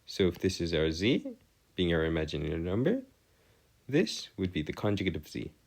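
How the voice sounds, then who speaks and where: A man with a very low voice explains a lesson as a tutor. His pitch moves in lively swings. He chooses formal words, hesitates between short phrases and often corrects himself. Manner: formal wording, halting, self-correcting. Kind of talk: informal maths lesson over a digital whiteboard.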